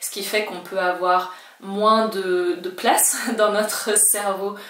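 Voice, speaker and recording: Woman, native French speaker, Spanish teacher; friendly explainer, mid pitch, a bit off-mic in an echoey room. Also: flat delivery, takes it slowly, a bit bright, far from the mic. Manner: chatty, reassuring, acts out learners' worries in quotes. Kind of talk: talking-head language lesson.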